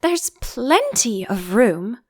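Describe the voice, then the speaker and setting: Woman playing a high-pitched, prim little storybook girl. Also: huge pitch swings, a little mellow, heavily acted. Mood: indignant. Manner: prim, quick to object. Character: indignant, curious, proper young girl in storybook.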